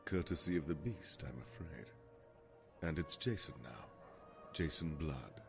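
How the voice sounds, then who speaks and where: A man's voice: very deep voice, lively intonation, unhurried, creaky, soft background music, full character voice. An actor playing an ageless, cursed immortal man, wry and world-weary, in a dramatic audio drama. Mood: wry, grim.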